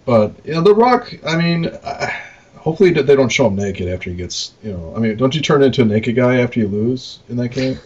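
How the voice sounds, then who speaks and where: A man co-hosting a podcast in casual chat, informal and halting, often trailing off. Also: mid-range voice, lively intonation, a little mellow, slightly rough, dull audio. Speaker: podcast co-host, arcade operator.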